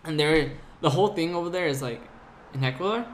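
A man's voice: fairly high pitch, fairly quick. A young man from California, a podcast guest telling travel stories casually, with lots of "like" and laughter. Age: young adult. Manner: animated, laughing storyteller with lots of "like". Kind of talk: casual storytelling. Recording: faint background noise.

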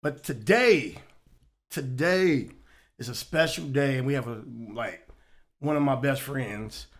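A man in his forties hosting a casual podcast chat, telling stories in an animated, joking way. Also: mid-range voice, slow pace. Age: 40s. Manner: animated storyteller, loose and joking. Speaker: podcast host.

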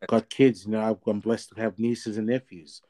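A man in his thirties talks as an interview guest, his voice dark and mellow and set somewhat low. He rambles, leaning on fillers and restarting his sentences. His delivery stays casual and conversational. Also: fairly even intonation, moderate pace. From Australia. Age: 30s.

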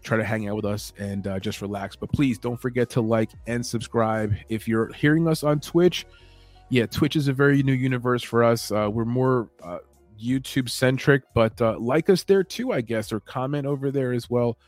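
A relaxed man talks through a late-night fight show in a calm, rambling, low-key way. His voice sits at a middle pitch, recorded close and dry. Soft music plays faintly behind him. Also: moderate pace, no room sound. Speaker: MMA podcast host. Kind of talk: late-night solo show, casual chat.

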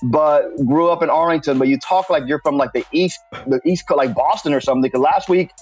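A man's voice: on the high side, fairly quick, husky, soft background music. A man hosting a podcast, bantering casually about sports and funny stories in a teasing, mildly profane way. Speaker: podcast host.

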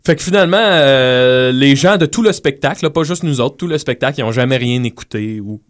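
A man's voice: medium pitch, fairly quick. A man with a Quebec French accent, a comedian, telling anecdotes in a casual radio chat. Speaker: comedian in a duo.

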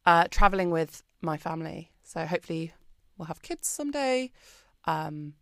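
A British woman being interviewed on a podcast, talking in a chatty, expressive way with plenty of ums and likes. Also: on the low side, normal speed, vocal fry, no echo, studio quality. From Britain. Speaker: podcast guest. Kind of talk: casual interview chat.

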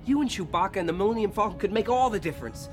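An actor in a radio drama playing an idealistic, eager young pilot recruit with an earnest, boyish manner. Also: male voice, sits high, expressive, racing, over music. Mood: pleading.

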